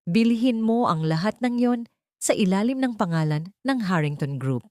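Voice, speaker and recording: A woman's voice: mid-range voice, lively intonation, normal speed, dry recording, studio quality, close to an everyday voice. A woman playing a steely young heiress bent on revenge in a dramatic audio story, speaking directly and without wavering. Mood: resolute. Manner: sharp, direct, unwavering.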